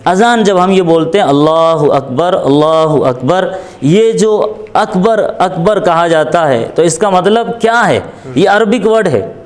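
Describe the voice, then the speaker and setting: Male interviewee, fairly high voice, explains deliberately like a preacher-teacher, restating key phrases. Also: animated. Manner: deliberate, repeats phrases for emphasis.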